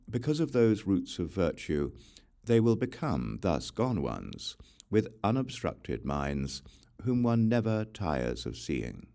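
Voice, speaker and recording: Male audiobook reader, very deep voice, lively intonation, solemn measured delivery. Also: vocal fry. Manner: slow, solemn and deliberate. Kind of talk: measured scripture reading.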